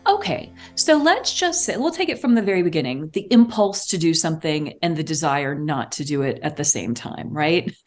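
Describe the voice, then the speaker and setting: A woman guest explaining things conversationally and expressively, acting out little examples as she goes. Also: low voice. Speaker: podcast guest, artistic director and writer.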